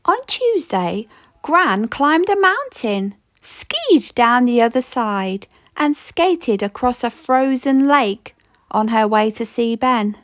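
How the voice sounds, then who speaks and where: Slow, lively woman reading a picture book. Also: on the high side, no room sound, clean studio sound. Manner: slow, expressive read-aloud with playful rhythm. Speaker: storybook reader.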